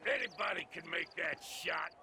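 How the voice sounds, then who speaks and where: An actor playing a belligerent, distrustful townsman in a western game, talking in a rough, rustic, challenging way. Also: on the high side, lively intonation, normal speed. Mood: scornful challenge. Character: belligerent, distrustful frontier townsman heckling a salesman. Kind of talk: heckling in a western game cutscene. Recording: noisy outdoor game ambience.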